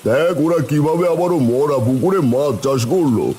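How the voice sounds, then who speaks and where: A man voicing a village man in an animated folk tale, with theatrical, exaggerated delivery. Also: fairly high pitch, normal speed, slight background noise, natural voice. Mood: agitated. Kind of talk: animated Bengali folk-tale cartoon dialogue.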